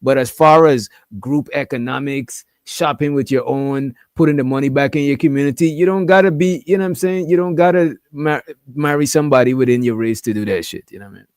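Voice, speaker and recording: Brief: man, mid-pitch, easygoing panelist, heavy slang, dry close sound. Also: brisk, hardly any pauses, a little mellow, clear, resonant tone, no echo. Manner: slangy, laid-back, says "you know what I'm saying". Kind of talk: casual panel discussion.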